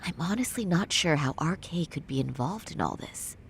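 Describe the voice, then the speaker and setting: A woman voicing a reserved, dryly polite young lawyer in a video game English dub, measured and understated. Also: sits low, lively intonation, vocal fry, a little hiss or hum. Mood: calm, businesslike.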